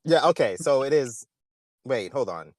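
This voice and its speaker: A man's voice: mid-range voice, expressive, a little slow, darkish tone, no echo, studio quality. A man co-hosting a podcast in casual chat, sometimes hesitant and repeating himself. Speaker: podcast co-host.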